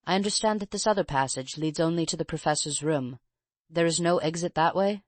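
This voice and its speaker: A woman reading a keen male detective in an audiobook, with a measured and probing manner of speaking. The voice sits fairly low for a woman. It is recorded close and dry. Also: no room sound, close to an everyday voice.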